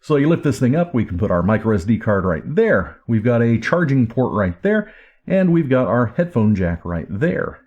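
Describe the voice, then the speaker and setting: A man's voice: medium pitch, big pitch swings, normal speed, darkish tone, lo-fi sound. Casual man giving an off-the-cuff product review. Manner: informal, off-the-cuff. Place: indoors at home. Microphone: camera or recorder microphone.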